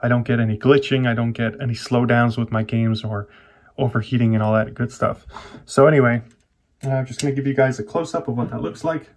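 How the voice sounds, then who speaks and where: A man vlogger talking casually through a hands-on computer build, often pausing and rewording his explanations as he goes. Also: medium pitch, fairly even intonation. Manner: informal, halting, self-correcting explanations. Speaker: tech vlogger.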